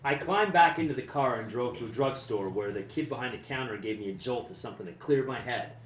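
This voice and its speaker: A man's voice: mid-range voice, expressive, fast talker, close to an everyday voice. A man playing an impatient, dogged insurance investigator, terse and wry, in an old-time radio detective play. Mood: wry narrating. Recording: roomy, distant, dull, faintly noisy.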